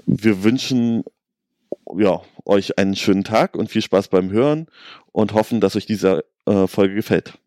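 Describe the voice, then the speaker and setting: A man co-hosting a podcast in relaxed, hesitant casual chat, with a slight cold and a bit hungover. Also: fairly low pitch, measured pace, no echo, studio quality. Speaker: podcast co-host.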